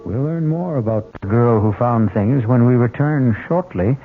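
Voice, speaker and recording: A man's voice: medium pitch, moderate pace, a little hiss or hum. A man hosting a radio mystery show, delivering dramatic scripted narration. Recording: muffled old radio recording with music.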